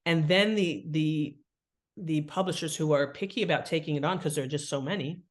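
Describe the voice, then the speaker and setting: A woman's voice: deep voice, a little fast. Woman, interview guest, conversational advice, explanatory, stressing key words. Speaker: guest author and writing expert.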